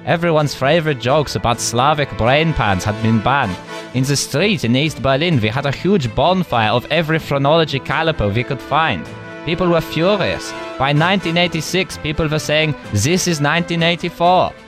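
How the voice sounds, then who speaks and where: Deadpan retired official played by a man, low-key and matter-of-fact, music underneath. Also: normal speed, close to an everyday voice. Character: male retired official recalling the past.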